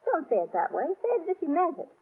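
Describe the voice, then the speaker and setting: An old, narrow-sounding radio recording carries a detective's devoted wife. Her voice is high, and she teases and insists with playful, scolding spirit in 1930s radio style. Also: big pitch swings, racing. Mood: tender, anxious. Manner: bantering, insistent, mock-scolding.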